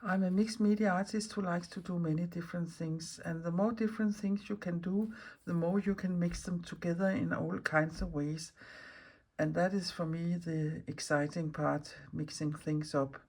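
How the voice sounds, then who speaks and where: A woman from Denmark with a Danish accent, chatting casually and unscripted as a craft vlog host, rambling and searching for words in halting non-native speech. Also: fairly low pitch, fairly even intonation, a little mellow. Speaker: mixed media artist and video host.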